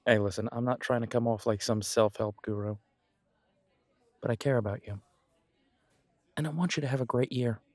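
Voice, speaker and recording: A man's voice: mid-range voice, steady tone, a little mellow, no echo, natural voice. A man playing a supportive, self-deprecating best friend in a conversational roleplay audio, talking in a reassuring, reflective way. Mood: caring. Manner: reassuring, chatty, reflective pauses. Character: warm, encouraging male best friend, self-deprecating, gently earnest.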